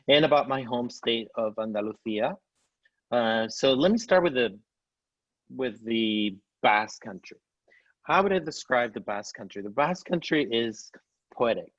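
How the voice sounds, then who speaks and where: A Spanish-born man gives an enthusiastic travel presentation over a video call, adding fillers as he goes. His voice sits at an everyday pitch for a man. The call audio is dry and dull, with no room sound. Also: no echo. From Spain. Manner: enthusiastic, descriptive, with frequent fillers. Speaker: tour company CEO presenting.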